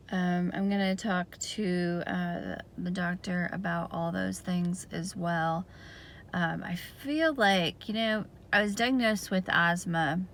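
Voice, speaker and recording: A woman giving a casual video diary update in a hoarse, raspy voice, hesitant and rambling with lots of fillers. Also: fairly low pitch, slight background noise. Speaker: vlogger giving a health update.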